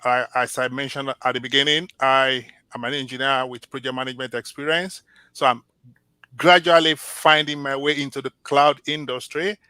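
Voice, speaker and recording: एक शांत, स्पष्ट आवाज़ वाला नाइजीरियाई पुरुष उम्मीदवार. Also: male voice, mid-range voice, fairly even intonation, pushed and bright, smooth, dry recording. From Nigeria. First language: Yoruba. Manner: earnest, measured, with restarts. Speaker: job candidate. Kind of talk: mock job interview answers.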